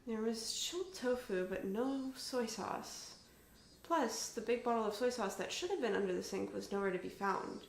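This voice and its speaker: A woman's voice: fairly high pitch, moderate pace, creaky, slight background noise. A woman voicing an earnest, firm and polite teenage girl in a story reading. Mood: pointed. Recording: roomy echo with music behind.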